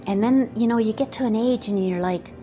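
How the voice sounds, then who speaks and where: A woman in her fifties giving a slow, earnest motivational talk about manifesting, pausing often between phrases. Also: fairly high pitch, mellow, slight background noise. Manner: slow, pausing, earnest, quotes doubters in put-on voices. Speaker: manifestation coach.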